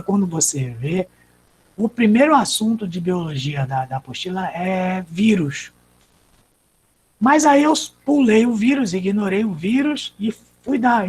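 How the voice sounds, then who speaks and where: A woman's voice: medium pitch, a little mellow, slight background noise. A woman with a Brazilian Portuguese accent teaching an online class, explaining step by step and answering her own questions.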